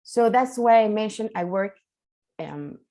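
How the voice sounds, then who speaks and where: A woman's voice: mid-range voice, animated, a little slow, mellow. A woman from Chile with a Chilean Spanish accent and Spanish as her first language, giving a nervous, hesitant conference talk full of fillers. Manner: hesitant, many fillers and restarts. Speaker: keynote speaker, mediator.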